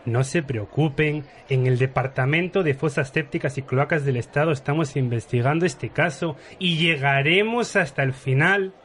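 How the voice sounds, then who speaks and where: A man's voice: on the high side, normal speed, hardly any pauses, a bit bright, slight background noise, close to an everyday voice. A man playing an earnest, pedantic government scientist, pompous and formal, in an absurd radio soap. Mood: officious.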